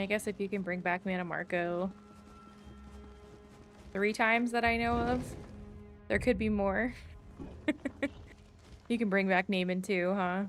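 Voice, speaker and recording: A woman's voice: medium pitch, creaky. A woman streamer giving casual gameplay commentary, muttering reactions as she goes. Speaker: gaming streamer.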